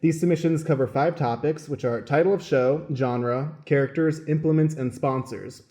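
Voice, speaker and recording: A man's voice: a little high, normal speed, warm tone. A man hosting a podcast as stage manager, explaining how the show works. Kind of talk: podcast introduction, explaining format.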